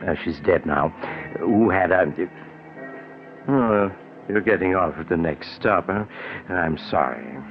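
An eerie male storyteller, speaks in a very low register and unhurried pace. He is sardonic and mock-polite, in the style of forties radio horror. The old recording is muffled. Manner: slow, insinuating, mock-polite, macabre. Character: eerie, sardonic mysterious traveler, male storyteller on a night train. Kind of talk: 1940s radio horror acting.

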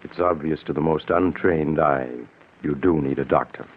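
An actor playing a calm, reassuring doctor who narrates an eerie tale in measured, theatrical 1940s radio mystery style. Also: male voice, extremely low pitch, narrow, tinny audio. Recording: band-limited, noisy vintage radio broadcast.

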